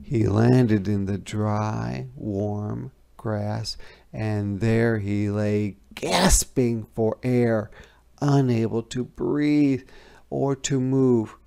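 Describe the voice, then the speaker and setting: A storybook reader giving an expressive, deliberate, dramatic read-aloud narration for children. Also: medium pitch, creaky, clear, resonant tone.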